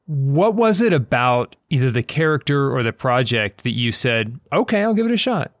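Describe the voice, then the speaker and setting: A man with a mid-pitched voice conducts a casual interview in a very dry acoustic. He builds his questions haltingly, piece by piece. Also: big pitch swings, dry recording. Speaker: interviewer, show creator and director.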